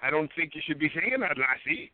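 A gold-obsessed old Irishman with a put-on Irish accent, as a man puts him on over the phone insists and frets in a theatrical, wavering lilt. His voice sits high and leaps all over the place. The sound is tinny and dry, like a phone line. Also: sing-song, fairly quick, dry recording. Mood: insistent. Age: older. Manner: insistent, confused, calls others "lassie". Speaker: caller. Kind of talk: prank call. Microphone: telephone.

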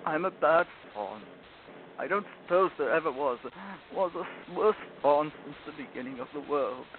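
A man's voice: fairly high pitch, expressive, lightly acted. A man reading a storybook, voicing a guilty, plaintive fawn who confesses remorsefully. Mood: sorrowful. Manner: plaintive, sobbing, drawn-out confessing. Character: guilty, tearful, remorseful male fawn with horns. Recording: tinny phone-like audio with loud noise.

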